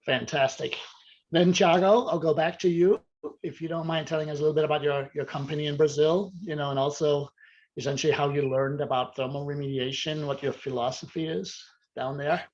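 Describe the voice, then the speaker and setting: A man's voice: fairly high pitch, fairly even intonation, fairly quick. Man hosting a webinar, dull audio. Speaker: webinar host.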